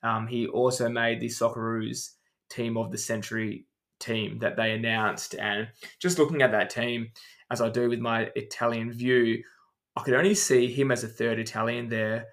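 A man's voice: medium pitch, little pitch movement, normal speed, a bit bright. A man co-hosting a casual podcast, explaining things at length and running through lists of names with frequent ums. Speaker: podcast co-host.

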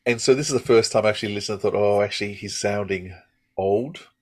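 Lower-pitched man, enthusiastic podcast chatter. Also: quick pace, darkish tone. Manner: enthusiastic, rambling with self-interruptions. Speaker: podcast host. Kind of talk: casual review chat.

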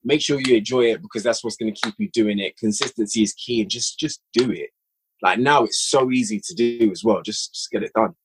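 A man guesting on a podcast, chatting casually with a relaxed, encouraging, filler-heavy manner. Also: fairly low pitch, brisk, noisy background. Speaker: podcast guest, audio drama maker. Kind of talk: casual chat.

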